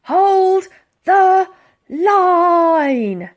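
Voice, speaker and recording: A woman's voice: very high voice, expressive, very slow, voice pushed up, full character voice. A woman puts on a pompous male police commissioner from a children's storybook. He is bossy and exasperated, barking and bellowing his orders in a raised, swooping voice. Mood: urgent command.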